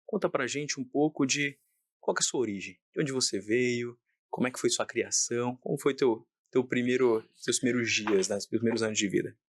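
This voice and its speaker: A man's voice: medium pitch, a little fast, no room sound. A man hosting an interview podcast, enthusiastic and effusive as he introduces his guest. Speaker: podcast host.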